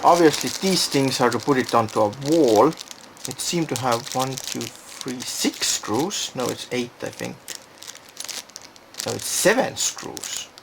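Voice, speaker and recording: A man's voice: medium pitch, vocal fry, background noise. A man giving a casual, unscripted product demonstration, thinking aloud and hesitating as he goes. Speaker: product reviewer.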